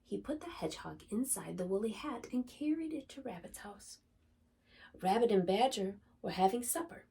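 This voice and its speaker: A woman reading a picture book aloud for young children in an expressive, dramatic, deliberate storytelling manner. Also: medium pitch, gravelly, breathy edge, far from the mic. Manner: animated, dramatic, deliberate phrasing for small children.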